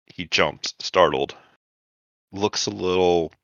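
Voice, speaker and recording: A man's voice: sits low, slow pace, creaky, fairly bright tone, no echo, lo-fi sound. A man narrating a tabletop roleplaying game as the game master, setting scenes and calling for rolls.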